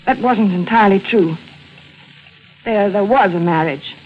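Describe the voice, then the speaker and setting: An actress playing a calm, sinister wife confessing to poisoning in a 1940s radio drama. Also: female voice, mid-range voice, soft background music, natural voice. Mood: measured. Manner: quiet, measured, coolly sinister. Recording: dull old radio broadcast with hiss.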